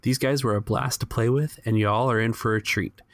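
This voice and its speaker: A man's voice: mid-range voice, steady tone, normal speed, no echo. A man hosting a podcast and narrating a tabletop role-playing game as game master.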